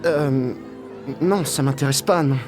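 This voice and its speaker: An actor playing a shy, casual teenage high-school boy in an audio drama, speaking in a hesitant, understated way. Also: a little slow, music in the background, close to an everyday voice. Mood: hesitant, friendly.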